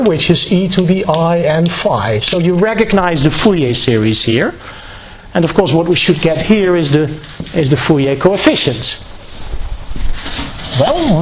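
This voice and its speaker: A man giving a university lecture, explaining step by step in a deliberate, halting way and often repeating and rephrasing his words. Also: on the high side. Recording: tinny phone-like band, noise, clicks.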